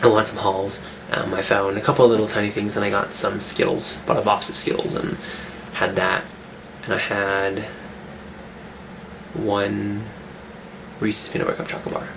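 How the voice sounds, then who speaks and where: A man rambles through a casual update, his voice roughened by a cold he is getting over. He sits on the low side and keeps circling back to restart his sentences. A steady hiss of noise runs under the recording. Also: normal speed. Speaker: fitness vlogger. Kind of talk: casual weekly update vlog.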